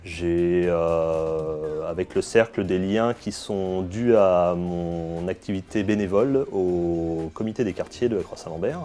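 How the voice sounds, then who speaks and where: A man in his late thirties speaks with a deep, warm tone. He gives a testimonial as a local official, with faint music behind him. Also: unhurried, hardly any pauses. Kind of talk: interview testimonial.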